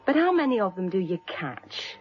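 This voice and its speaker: A woman's voice: medium pitch, sing-song, normal speed, lo-fi sound, natural voice. A woman acting a cool, worldly character in naturalistic radio drama dialogue, offhand, wry and teasing. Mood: offhand, amused. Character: cool, worldly, wryly unflappable woman witness.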